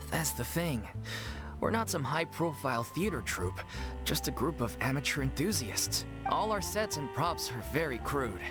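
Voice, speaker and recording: Earnest, expressive young theater amateur. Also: male voice, a little high, unhurried. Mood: downcast. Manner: polite, hesitant, earnest. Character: earnest, downhearted young male amateur theater troupe member. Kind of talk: anime game voice acting. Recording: music behind the voice.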